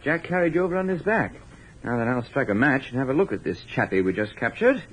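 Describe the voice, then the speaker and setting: An actor playing a jaunty, wisecracking adventurer with breezy banter in 1940s radio style. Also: male voice, mid-range voice, very animated, fast, slightly rough. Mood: explaining. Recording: muffled old radio recording.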